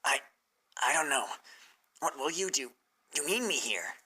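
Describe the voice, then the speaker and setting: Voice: medium pitch, big pitch swings, measured pace, pushed and bright, husky, exaggerated voice. A reader voicing a cheeky, insecure young male assistant creature in a fan fiction story. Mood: uneasy. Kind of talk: fan fiction character reading.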